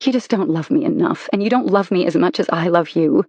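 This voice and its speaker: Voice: mid-range voice, fairly quick, a little mellow, no echo, lo-fi sound, lightly acted. An audiobook narrator voicing a betrayed wife who is walking out on her husband, speaking in a cold, cutting, emphatic way. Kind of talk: audiobook character reading.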